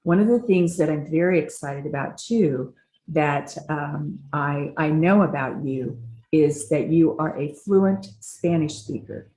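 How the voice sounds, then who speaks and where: A woman's voice: low-pitched, a little slow, mellow. A woman hosting an interview for a foundation, enthusiastically affirming her guest before she asks questions. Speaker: interview host. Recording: echoey room.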